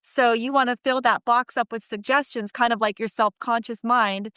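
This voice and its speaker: Bright, clear-voiced woman co-hosting. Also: a little high, steady tone, normal speed, dry recording, clean studio sound. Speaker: podcast co-host. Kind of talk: casual explanatory talk.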